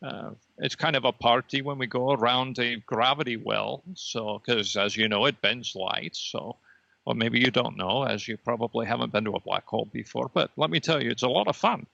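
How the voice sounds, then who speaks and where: A man voicing a smug cosmic energy being, speaking in a polite, measured, faintly superior way on a comedy podcast. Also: mid-range voice, gravelly, pushed and bright, no room sound, highs cut off, exaggerated voice. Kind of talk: improvised comedy podcast character interview.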